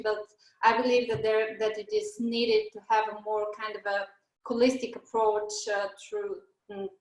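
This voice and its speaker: A woman's voice: medium pitch, roomy sound, low-quality recording. A woman from Bulgaria in her thirties hosting a business webinar over a video call, hesitant with many fillers and restarts. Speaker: webinar host. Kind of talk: presenting a webinar.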